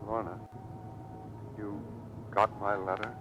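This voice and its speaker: A man's voice: on the low side, little pitch movement, takes it slowly, noisy background. An actor playing a weary, earnest man in a military hospital in an old radio drama. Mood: weak, tender. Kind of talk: 1940s-50s radio drama acting. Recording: muffled vintage radio recording with music.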